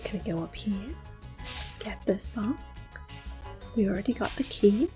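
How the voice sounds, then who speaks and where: A woman giving gentle, unhurried ASMR game commentary in a soft, breathy voice that often drops to a whisper. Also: medium pitch, lively intonation. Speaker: gameplay host. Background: video game music.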